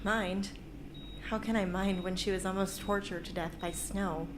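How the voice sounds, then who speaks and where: A woman's voice: medium pitch, fairly even intonation, moderate pace, lightly acted. A woman playing a wounded, earnest and stubborn teenage girl rebel in an amateur radio drama. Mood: pained. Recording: noisy amateur radio play recording.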